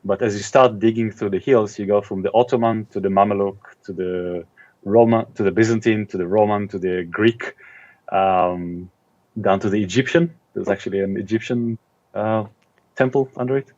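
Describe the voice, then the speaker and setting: A middle-aged man from Israel, an author being interviewed, speaking in a thoughtful, casual way with many pauses and ums. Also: on the low side, fairly even intonation, a little mellow, lo-fi sound.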